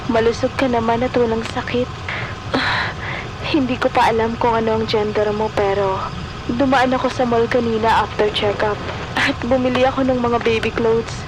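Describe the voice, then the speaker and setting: A woman's voice: on the high side, background noise, natural voice. A woman playing a loving expectant mother talking to her baby in an audio drama, tender and sentimental, with a Filipino accent. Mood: tender, happy.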